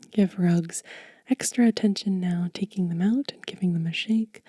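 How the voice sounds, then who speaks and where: A woman speaking gently and unhurriedly in a soft, whispery, breathy voice, close to the mic, as an ASMR host showing and telling. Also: medium pitch, dry recording. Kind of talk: soft-spoken ASMR show and tell.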